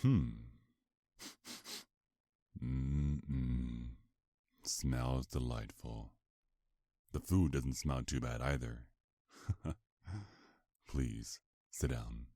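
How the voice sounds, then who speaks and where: A man playing a sinister, condescending vampire mafia boss in an ASMR roleplay, speaking in a formal, menacing, drawn-out way. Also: very deep voice, steady tone, dark tone, no room sound, studio quality, full character voice. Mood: smug, pleased.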